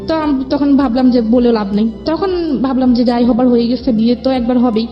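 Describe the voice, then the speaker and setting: A young Bangladeshi woman with a fairly high voice shares a personal story as a guest. She speaks colloquially, relaying conversations as she goes. The sound is slightly dull and lo-fi, with music playing underneath. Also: fairly quick. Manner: colloquial, recounts dialogue as reported exchanges. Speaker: guest telling her life story. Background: background music.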